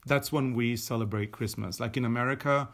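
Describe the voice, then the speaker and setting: A chatty middle-aged man from Sweden, a native Swedish speaker, talks in a relaxed podcast chat. His pitch sits at an ordinary middle level. He explains things at length, with plenty of fillers and restarts. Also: brisk. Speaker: podcast co-host. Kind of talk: casual chat while knitting. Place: indoors at a table.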